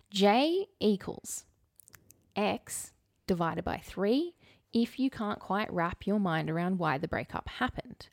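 A woman's voice: medium pitch, darkish tone, no room sound, clean studio sound. A woman co-hosting a podcast in casual chat, reading bits aloud with wry, candid asides. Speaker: podcast co-host.

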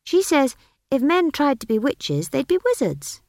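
A woman voicing a stubborn, sharp-witted young country girl in an audiobook story, blunt and challenging in manner. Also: sits high, big pitch swings, a little slow, dry recording, studio quality, pitched above the performer's own voice, exaggerated voice. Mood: stubborn, proud.

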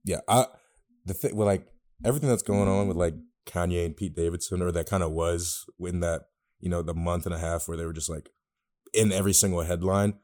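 A young man co-hosting a podcast in a relaxed, slangy manner. His voice is deep and mellow. He backs up his co-host with easy agreement. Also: brisk. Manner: laid-back, agreeing, slangy. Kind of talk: casual podcast chat.

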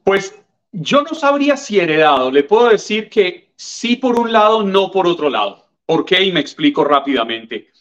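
A Colombian man in his fifties speaks in a slightly raised register, measured and articulate as he answers each question. His audio comes through dull and muffled. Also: sits high, very animated, fairly bright tone. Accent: Colombian, from Tolima. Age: 50s. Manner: articulate, measured, formal address. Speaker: guest journalist. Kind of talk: reflective interview answers.

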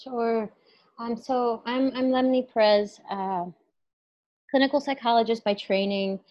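A woman's voice: a little high, steady tone, a little slow. Woman speaking through a muffled, lo-fi line. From New York, USA. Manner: thoughtful, many fillers and restarts. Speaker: clinical psychologist and life coach, guest. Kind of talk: casual interview.

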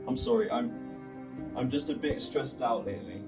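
A man's voice: medium pitch, normal speed, lightly acted. A young man with a British accent acting a grieving, headstrong, insistent character in a radio drama. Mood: upset, troubled. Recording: distant voice under prominent music.

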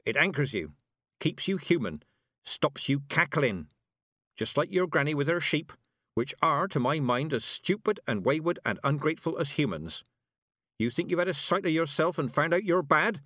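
A man's voice: a little high, expressive, moderate pace, a bit bright, no echo, studio quality, voice pushed up. A man voicing a stern, blunt, proud old country witch woman with emphatic rustic speech, in audiobook style. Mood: firm, wry. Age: older. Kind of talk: audiobook character acting.

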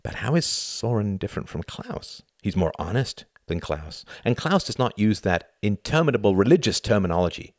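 Casting: male reader playing curt, tired, reserved English male in a novel, argumentative, very varied pitch, dry sound. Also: big pitch swings, normal speed, few pauses, no echo. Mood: doubting. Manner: curt, brusque. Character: irritable, weary young Englishman, argumentative and reserved.

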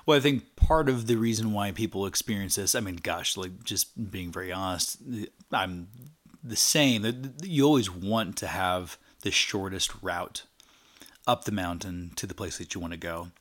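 A man's voice: low voice, moderate pace, fairly bright tone. A man co-hosting a podcast in casual chat, talking with energy and rambling through fillers. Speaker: podcast co-host.

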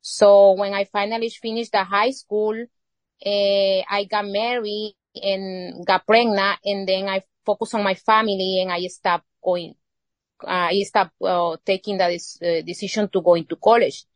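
A woman from El Salvador whose first language is Spanish, speaking English with a Salvadoran Spanish accent as an interview guest, halting and searching for words with many pauses. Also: medium pitch, little pitch movement, dry recording. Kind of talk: casual interview conversation.

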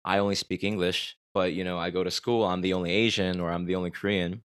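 A man's voice: low voice, little pitch movement, fast, smooth, dry recording, studio quality. An American man hosting a podcast, talking reflectively and rambling a bit with restarts. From United States. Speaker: podcast host. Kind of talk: conversational podcast interview.

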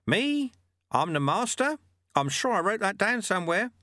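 A man's voice: sits high, sing-song, normal speed, pushed and bright, no room sound, clean studio sound, voice pushed up, heavily acted. A man voicing a small elderly monk master in a storybook, wry, folksy and unflappable, with a cheeky instructive manner. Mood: mock indignant.

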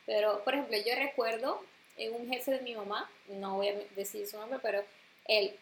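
A young Venezuelan woman co-hosting a podcast, chatting in a lively, slangy way and swearing freely. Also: a little high, moderate pace, a little hiss or hum. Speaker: podcast co-host. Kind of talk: casual chat.

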